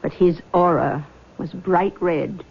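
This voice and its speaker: A woman's voice: on the low side, slow pace, vocal fry, husky, full character voice. An actress playing a fretful, insistent, superstitious mother in a radio drama, with an earnest, fussing, theatrical delivery. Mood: earnest, ominous. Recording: muffled old radio broadcast.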